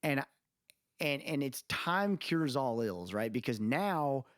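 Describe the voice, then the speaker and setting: A man's voice: mid-range voice, animated, takes it slowly, no echo. Male podcast co-host, mid-range voice, loose rambling opinion talk, dry recording. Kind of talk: casual podcast chat, opinionated music commentary.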